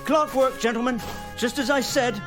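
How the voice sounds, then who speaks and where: High-voiced, pompous professor mastermind. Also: male voice, fairly even intonation, normal speed, over music. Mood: gloating. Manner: pompous, precise, formal. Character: pompous, exacting male professor, criminal mastermind. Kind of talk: theatrical radio drama acting.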